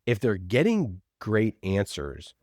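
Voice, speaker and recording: Low-voiced man giving emphatic podcast advice. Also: huge pitch swings, takes it slowly, darkish tone, dry recording, studio quality. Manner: emphatic, opinionated, acts out imagined exchanges. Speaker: podcast producer, interview guest. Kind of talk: casual interview talk, giving advice.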